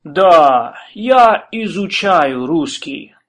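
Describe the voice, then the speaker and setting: Male actor as a polite newcomer learning the language. Unhurried, careful delivery. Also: on the high side, animated, fairly bright tone, exaggerated voice. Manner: slow, deliberate learner's speech.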